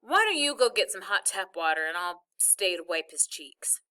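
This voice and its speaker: Lady doing a wisecracking storybook dad, amused, teasing, bright voice. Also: on the low side, very animated, normal speed. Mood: explaining. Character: teasing, amused father in his thirties.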